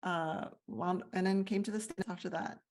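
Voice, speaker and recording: A woman's voice: fairly low pitch, fairly even intonation, normal speed, darkish tone. A woman from Malaysia who works as a CEO coach, answering interview questions in a thoughtful, earnest way. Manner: thoughtful, earnest, with fillers and restarts. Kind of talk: interview, reflective personal answers.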